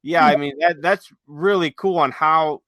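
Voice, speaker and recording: A man's voice: a little high, unhurried, sharp tone, no echo. A man co-hosting a podcast in casual, enthusiastic, rambling explanations, sometimes reading from notes. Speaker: podcast co-host. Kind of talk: casual chat with some read-aloud notes.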